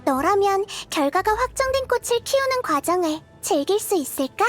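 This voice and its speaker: Super high cute little girl goddess from a game, soft, thoughtful, curious, bit of music under it. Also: big pitch swings, moderate pace. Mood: gentle, musing. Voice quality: small, cute, girlish. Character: tiny childlike goddess of wisdom, gentle, curious, thoughtful. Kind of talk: video game character voice lines.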